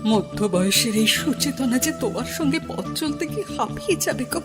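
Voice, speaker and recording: An actress playing a middle-aged woman, a soft and wistful lover, in a radio drama. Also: high-pitched, lively intonation, moderate pace, loud music behind the voice.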